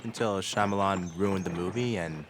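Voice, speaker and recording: Fantasy drama: actor for an out-of-place modern teen lad, puzzled and informal, noisy ambience behind. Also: male voice, deep voice, moderate pace, lightly acted. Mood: curious, puzzled. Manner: casual, hesitant, puzzled. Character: naive, curious modern American teenage boy. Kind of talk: fantasy audio drama acting.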